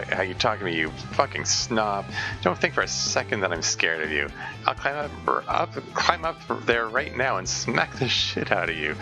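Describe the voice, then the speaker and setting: Guy reading as a feisty little teen boy from a visual novel, snappy and cussing, low-pitched, game music going behind. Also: rough recording. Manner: snapping, profane. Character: hot-tempered small teenage boy, feisty.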